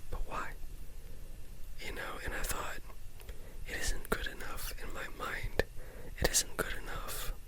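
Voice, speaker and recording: An ASMR host whispering a loose, rambling monologue into a close mic, full of restarts and self-talk. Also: measured pace.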